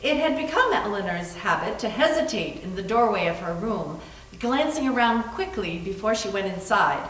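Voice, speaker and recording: A woman's voice: mid-range voice, very animated, normal speed, a bit bright, slight background noise. A woman giving a dramatic, scripted story narration. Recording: echoey room, distant microphone.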